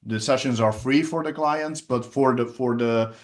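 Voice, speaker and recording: A middle-aged man with a Belgian Dutch accent, a native Dutch speaker, chatting in an interview about his hypnotherapy work, pausing often with fillers and restarts. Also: medium pitch. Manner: hesitant, many fillers and restarts. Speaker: hypnotherapist and trainer. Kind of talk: casual interview chat.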